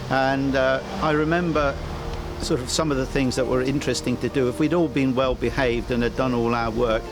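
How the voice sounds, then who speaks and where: A man in his seventies from Guernsey, whose first language is Guernsey French, calmly recalling his school days. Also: mid-range voice, normal speed, background noise. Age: 70s. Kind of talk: casual reminiscing.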